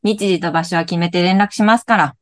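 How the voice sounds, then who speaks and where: A woman's voice: lowish voice, a little fast, no room sound, clean studio sound, lightly acted. A woman playing a pushy, eager young party planner. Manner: insistent, cheerful, persuasive. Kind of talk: radio drama acting.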